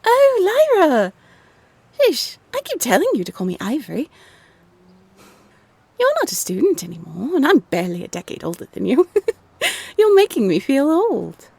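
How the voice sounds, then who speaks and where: A woman playing a carefree female dryad professor and shopkeeper in a roleplay audio drama, brisk, cheerful and expressive. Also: high voice, gravelly, no echo, exaggerated voice. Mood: cheerful, lively.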